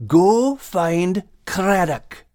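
A man's voice: sits high, expressive, extremely slow, darkish tone, no echo, studio quality, pitched above the performer's own voice, exaggerated voice. A man voicing a brave but self-doubting male dog with a Scottish accent for an audiobook. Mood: eager.

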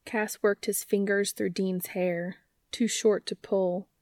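A woman's voice: mid-range voice, level tone, takes it slowly, darkish tone, no echo. A woman reading scripted fiction aloud as a story narrator.

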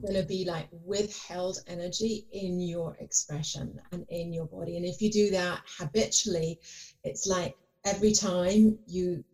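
A woman's voice: lowish voice, warm tone, rough recording. A woman guest on a podcast, talking in a rambling way with lots of fillers and restarts. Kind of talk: casual conversation.

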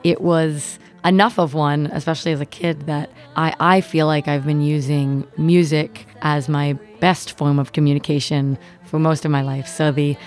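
A woman's voice: low-pitched, fairly even intonation, normal speed, hardly any pauses, darkish tone. A woman with a North American accent, a touring musician reflecting on her experiences in a personal storytelling style. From Ontario, Canada. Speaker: touring violinist and songwriter. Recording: music bed under the voice.

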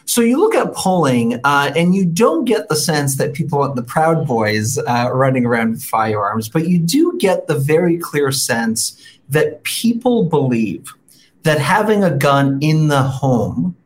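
A guest expert in a political interview, speaking in a measured way, citing research and adding frequent "right?" tags. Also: medium pitch, very animated.

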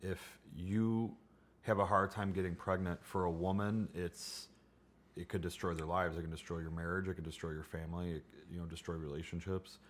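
Deep-voiced earnest man. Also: fairly even intonation, normal speed. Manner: earnest, repeats for emphasis. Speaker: guest. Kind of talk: casual chat.

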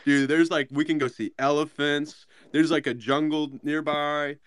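A man's voice: fairly high pitch, steady tone, moderate pace, smooth, no room sound. A man in a casual group chat, slangy and profane, repeating his phrases as he goes.

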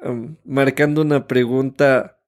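A man's voice: medium pitch, fairly even intonation, unhurried. A man in his thirties with an Ecuadorian Spanish accent, co-hosting a conversational podcast. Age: 30s. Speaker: podcast co-host.

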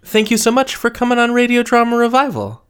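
A man's voice: very high-pitched, lively intonation, fast talker, smooth. A man speaking as a podcast host, delivering his lines in a wry, whimsical way. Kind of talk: podcast hosting and scripted outro.

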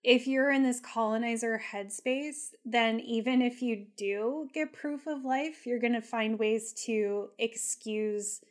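Woman podcast co-host, fairly high, relaxed and reflective, filler-heavy. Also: takes it slowly, hardly any pauses. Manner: thoughtful, many "like" fillers. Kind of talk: casual analytical chat.

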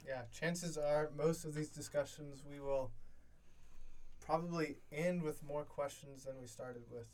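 Easygoing young man, slightly high voice. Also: steady tone, unhurried, a little mellow, slight background noise. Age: 20s. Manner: easygoing, laughs often, many fillers. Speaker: podcast co-host. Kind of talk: casual chat. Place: college dorm room.

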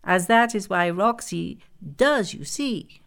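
A woman's voice: mid-range voice, lively intonation, takes it slowly, creaky, a bit bright, voice pushed up, heavily acted. A woman voicing a friendly, helpful Italian female clerk with a thick Italian accent in an audiobook, explaining obligingly.

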